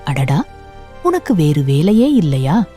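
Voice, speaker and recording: Doubting, playful ant pal for a kids' story, performed by a female narrator, lowish voice, lively delivery. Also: slow, heavily acted. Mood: teasing, dismissive. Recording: music behind the voice.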